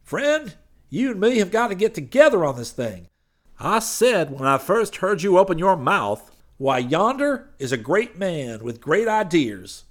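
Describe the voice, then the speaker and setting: A man doing a high wheedling preacher. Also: sing-song, measured pace, full character voice. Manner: high sugary pleading voice, Southern drawl. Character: fast-talking oily male radio preacher, wheedling.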